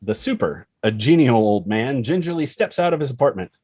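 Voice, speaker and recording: A man's voice: mid-range voice, very animated, fairly quick, darkish tone, breathy edge, no echo, clean studio sound. A man narrating screenplay action and scene headings as a scripted table read. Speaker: narrator reading stage directions.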